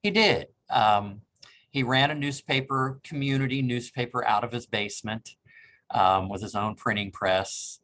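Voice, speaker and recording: A man's voice: medium pitch, measured pace, a bit bright. A man in his sixties from Cincinnati, Ohio, a reference librarian, telling his family history in a polite, reflective way with frequent ums. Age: 60s. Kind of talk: oral history interview.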